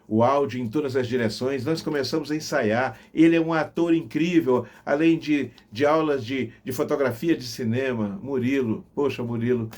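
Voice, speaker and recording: A man's voice: mid-range voice, a little mellow. A middle-aged man, reminiscing to viewers like a veteran radio announcer, with emphatic, theatrical storytelling. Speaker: veteran radio announcer telling career memories on his channel.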